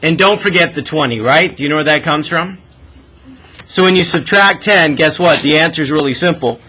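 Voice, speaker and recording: Guy teacher explaining stuff step by step, highish bright voice, some room noise behind. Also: a little fast. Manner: asks frequent checking questions. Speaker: math teacher. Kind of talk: classroom lesson, explaining step by step.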